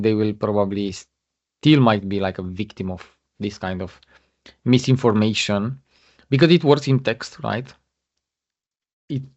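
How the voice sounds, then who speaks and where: Halting man presenting over a muffled call. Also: fairly low pitch, darkish tone. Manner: halting, many fillers and restarts. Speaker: guest speaker. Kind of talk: webinar presentation. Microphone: video call. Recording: muffled lo-fi online call audio.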